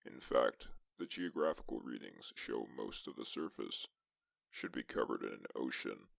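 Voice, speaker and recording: A man narrating as a lone astronaut explorer in a suspense science-fiction story, with a gravelly voice and measured, deliberate delivery. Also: a bass voice, a bit bright, no echo, full character voice. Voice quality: gravelly, creaky. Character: lone male astronaut explorer recounting a tense exoplanet mission. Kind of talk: scripted first-person sci-fi story narration.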